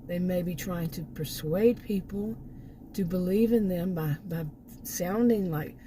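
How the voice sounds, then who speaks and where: A middle-aged woman, hoarse from losing her voice, talking in a rambling, unscripted way with many restarts and asides. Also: on the low side, unhurried, warm tone, a little hiss or hum. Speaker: vlogger. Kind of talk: casual unscripted monologue.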